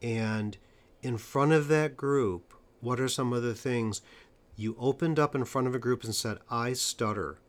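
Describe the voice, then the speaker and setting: A man interviewing a guest in a conversational way, stressing points emphatically and slowing down for them. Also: mid-range voice. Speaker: interviewer and stuttering coach.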